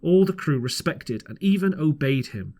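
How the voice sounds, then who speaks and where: A man with a slightly high voice reads an old seafaring tale aloud. He narrates from a script as an amateur audiobook reader, now and then tripping over a word and taking it again. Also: lively intonation, normal speed, a little mellow. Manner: stumbles over words and rereads phrases. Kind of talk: scripted storybook narration of an old sea adventure.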